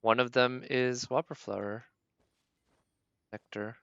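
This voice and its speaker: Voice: mid-range voice, fairly even intonation, slow, vocal fry, a bit bright, clear, resonant tone, dry recording, muffled recording. A game livestream host giving casual live commentary, thinking aloud in a tentative, musing way.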